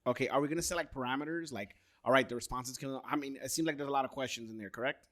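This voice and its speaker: A man's voice: medium pitch, racing, a bit bright. A man in his thirties co-hosting a podcast, teasing his friends in casual, profane banter. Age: 30s. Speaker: podcast co-host.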